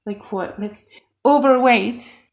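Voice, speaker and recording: A woman's voice: a little high, slow, gravelly. A woman from Iran, a native Persian speaker with a Persian accent, chatting casually to viewers and thinking aloud as she codes on a livestream. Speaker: livestreamer and game modder. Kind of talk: casual livestream chat while coding.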